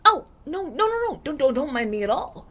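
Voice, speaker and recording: Male actor as a young prince, courteous, nervous, stammering, very lively swooping intonation, audio roleplay. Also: very high-pitched, brisk, exaggerated voice. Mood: nervous, eager. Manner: stammering, hesitant, polite, animated. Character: polite, flustered young runaway human prince, injured and anxious. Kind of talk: ASMR audio roleplay, acted one-sided dialogue.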